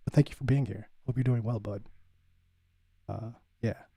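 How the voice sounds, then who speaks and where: A man hosting his own livestream, chatting casually with viewers in a relaxed, laid-back way, full of fillers and calling people "bud". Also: medium pitch, normal speed, gravelly, husky, no echo, studio quality. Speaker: livestream host, bassist. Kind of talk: casual chat reading viewer comments.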